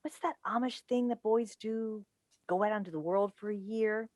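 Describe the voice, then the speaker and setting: A woman's voice: mid-range voice, normal speed, a little mellow, no room sound, natural voice. A woman playing a driven, needling mother and broadcast advice host in a staged play reading over a video call. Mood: wounded, needling. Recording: dry video call recording.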